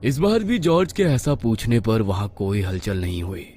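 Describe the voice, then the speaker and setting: A man's voice: lowish voice, very animated, normal speed, warm tone. A man narrating a scripted horror story in a suspenseful, dramatic manner.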